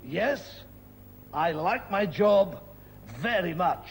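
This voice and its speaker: A high, gravelly voice with a slow, careful delivery: a mild ex-policeman turned bank clerk. The sound has a roomy echo and a faint hum behind it. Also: animated, exaggerated voice. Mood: earnest. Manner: careful, repeats himself deliberately.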